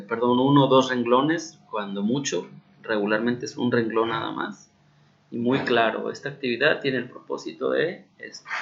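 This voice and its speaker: A man's voice: mid-range voice, gravelly, fairly bright tone, highs cut off. A man from Mexico with a Mexican Spanish accent, a teacher patiently explaining a class assignment and often checking that students follow. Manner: patient, repeats key points with frequent check-ins.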